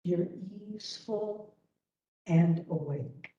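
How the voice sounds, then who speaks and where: A woman leading a guided meditation as instructor, gently and unhurriedly, leaving long pauses between phrases. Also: lowish voice, highs cut off. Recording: roomy, distant webinar audio.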